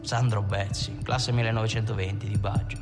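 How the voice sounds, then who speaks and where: A man's voice: lowish voice, flat delivery, moderate pace, natural voice. An actor playing a streetwise Italian gangster, telling his memories in a colloquial film monologue. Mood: streetwise, reminiscing. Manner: colloquial, storytelling with acted-out dialogue. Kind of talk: dramatic film monologue, conversational reminiscence. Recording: film soundtrack, reverberant hall, music behind.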